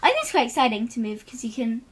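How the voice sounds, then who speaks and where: Teen girl co-host, upbeat, chatty fillers, higher pitch. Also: very animated, fast, breathy edge. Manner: encouraging, upbeat, filler-heavy. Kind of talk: casual chat.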